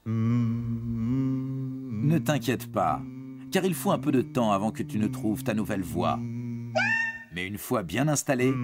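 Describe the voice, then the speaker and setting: A man narrates an educational cartoon for young teens with very lively, varied intonation. He explains in a didactic yet reassuring and informal tone, speaking straight to the listener. Music plays clearly behind his mid-pitched voice.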